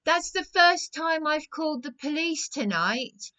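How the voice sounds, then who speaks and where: Woman, high bright voice, distressed, agitated, insistent, repetitive, phone complaint. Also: lively intonation, unhurried. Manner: agitated, insistent, repeating phrases. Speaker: caller.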